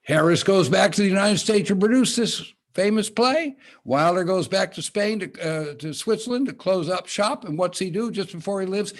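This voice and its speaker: A man giving a storytelling introduction to a panel, posing rhetorical questions before handing over to the guests. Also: fairly high pitch. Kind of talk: spoken introduction.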